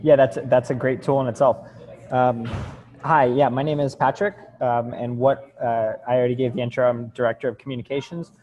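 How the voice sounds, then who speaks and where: A man introducing a call as director of communications, speaking hesitantly with frequent pauses. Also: medium pitch, little pitch movement, a little mellow, highs cut off. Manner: hesitant, many ums. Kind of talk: meeting introduction. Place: video call.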